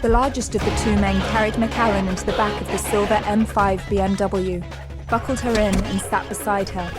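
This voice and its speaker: A woman delivers scripted story narration for a sci-fi audio drama. Her pitch sits in the middle, and loud music runs underneath her. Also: fairly even intonation, brisk.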